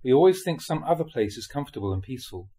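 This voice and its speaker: Man with expressive, slightly husky reading voice. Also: mid-range voice, quick pace. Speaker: monk reading dharma talks aloud. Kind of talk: reading a spiritual talk aloud.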